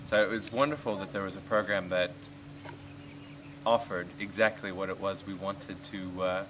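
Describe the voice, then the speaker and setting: Low-voiced thirty-year-old man, off-mic. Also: steady tone, normal speed, far from the mic. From Kansas, USA. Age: 30s. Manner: earnest, hesitant with fillers. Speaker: interviewee. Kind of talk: casual interview answers. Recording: off-mic with clear background noise.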